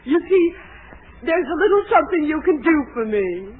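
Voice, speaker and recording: A woman's voice: high voice, sing-song, normal speed, a little hiss or hum. Overbearing middle-aged landlady, melodramatic wheedler with big swooping delivery, 1940s radio comedy, tinny recording. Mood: coaxing. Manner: theatrical pleading, melodramatic.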